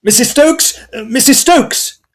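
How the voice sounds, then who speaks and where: A man playing an earnest gentleman ghost hunter who narrates a period Gothic audio drama in formal, old-fashioned phrases. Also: extremely high pitch, unhurried. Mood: urgent.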